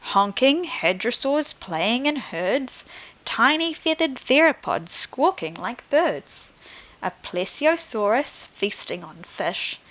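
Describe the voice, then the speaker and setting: A woman's voice: on the high side, big pitch swings, takes it slowly, fairly bright tone, pitched above the performer's own voice, exaggerated voice, poor audio. A woman voicing a flamboyant, boastful male dinosaur shopkeeper in a storybook, with a theatrical, grandly welcoming manner. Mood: grand, excited. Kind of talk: storybook read aloud.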